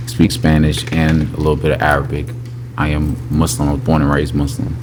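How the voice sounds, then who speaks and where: Very deep-voiced man, laid-back. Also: a little fast. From Brooklyn, New York. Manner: laid-back street slang, lots of "you know what I mean". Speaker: podcast guest. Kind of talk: casual chat. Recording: noisy with steady hum.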